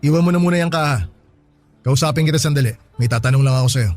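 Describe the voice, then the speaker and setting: An actor playing a strict, suspicious store boss in a Tagalog radio drama, questioning in a stern manner. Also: male voice, medium pitch, fast, a little mellow, natural voice. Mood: probing. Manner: interrogating, stern. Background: music.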